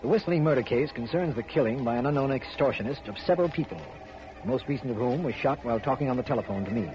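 An actor playing an earnest, businesslike district attorney in a 1950s radio drama, formal and clipped. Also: male voice, mid-range voice, expressive, fast, darkish tone, lightly acted. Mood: brisk, explanatory. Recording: muffled, noisy old radio recording.

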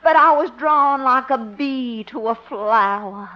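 A woman's voice: high voice, slow. An actress playing a clingy, lovestruck woman in a 1950s radio comedy, cooing and melodramatic. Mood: coy, flirtatious. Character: gushing, clingy, lovestruck woman chasing her sweetheart. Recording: muffled old radio recording.

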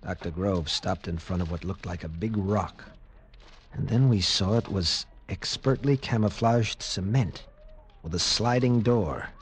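A man's voice: low-pitched, measured pace. An American man playing a wary military pilot lieutenant in slangy, clipped 1940s radio drama style, both in dialogue and narration. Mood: wry, ominous narration. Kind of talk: 1940s radio acting and first-person narration. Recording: noisy vintage radio drama recording.

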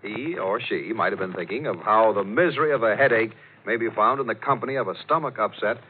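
A man reading a scripted commercial as a 1940s radio announcer, smooth and persuasive, on a tinny old recording. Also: fairly low pitch, quick pace, slight background noise.

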